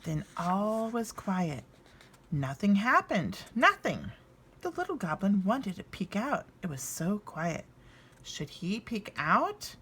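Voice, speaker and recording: A woman narrating a children's storybook expressively, with dramatic pauses and emphasis. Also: mid-range voice, big pitch swings, a bit bright, breathy edge. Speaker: storybook reader.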